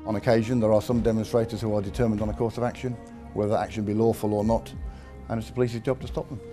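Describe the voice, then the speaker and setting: A man giving a formal interview statement in measured wording. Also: lowish voice, level tone. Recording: music under the voice.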